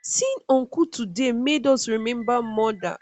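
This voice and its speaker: A young storybook princess, clever and respectful, pleads with royalty as a woman narrator acts her out. Her pitch moves in a lively way, with soft music behind. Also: moderate pace. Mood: tearful pleading.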